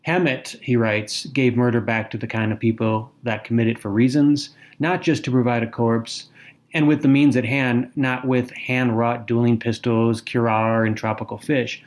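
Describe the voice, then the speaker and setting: A man co-hosting a podcast, giving prepared literary commentary in a measured, articulate way with emphatic phrasing. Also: medium pitch, fairly even intonation. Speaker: podcast co-host. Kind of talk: literary discussion with prepared remarks.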